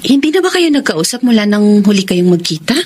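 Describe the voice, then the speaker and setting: A woman plays a sympathetic confidante in a radio drama. Her voice is medium in pitch with a Filipino accent, over faint background noise. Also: sing-song, fairly quick, darkish tone, close to an everyday voice. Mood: sympathetic concern. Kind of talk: Tagalog radio drama acting.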